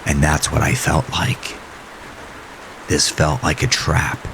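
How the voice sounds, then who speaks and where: A man narrating a first-person horror story in a deliberate, suspenseful, dramatic way with a gravelly voice. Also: extremely low pitch, little pitch movement. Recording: rain and storm noise behind voice. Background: rain, storm ambience.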